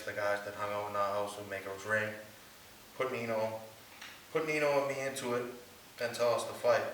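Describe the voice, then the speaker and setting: A man's voice: fairly low pitch, fairly bright tone. A man giving a rehearsed dramatic reading of his life story as a stage monologue. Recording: very echoey room, distant mic, faint hiss.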